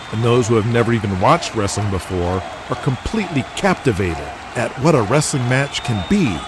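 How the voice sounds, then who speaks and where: A man's voice: lowish voice, noisy background. A man narrating a scripted audio drama with intense, emphatic storytelling. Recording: loud music bed under voice.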